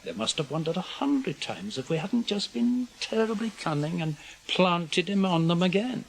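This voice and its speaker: An actor playing an older British intelligence officer in a radio drama, guarded and weary, speaking in measured, clipped phrases. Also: male voice, fairly high pitch, low-quality recording. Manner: measured, clipped, explanatory delivery in short phrases. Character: senior male British intelligence officer, guarded, weary, conscience-burdened spymaster.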